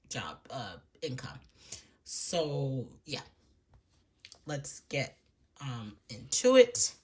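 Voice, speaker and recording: A woman with a stuffy, froggy voice from allergies, chatting casually and rambling a bit as she walks through her budget for viewers at home. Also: low voice. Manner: rambling and chatty, with soft laughs and hushed asides. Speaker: budgeting vlogger. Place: room at home.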